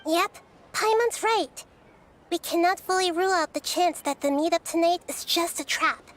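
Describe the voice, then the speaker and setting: A woman voicing a wise, composed young goddess in a child's form, measured and earnest, in an anime game. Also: extremely high pitch, a bit bright, a little hiss or hum. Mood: calm, thoughtful. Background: game background music.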